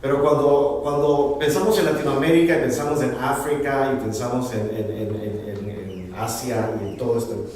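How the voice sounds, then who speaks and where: A man's voice: medium pitch, steady tone, normal speed, hardly any pauses, a little mellow. Honduran guy preaching, kinda far off the mic, big echoey church hall, faint room hum. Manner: switches between Spanish and English, repeats words while searching for them. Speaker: pastor. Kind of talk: bilingual sermon. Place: church sanctuary.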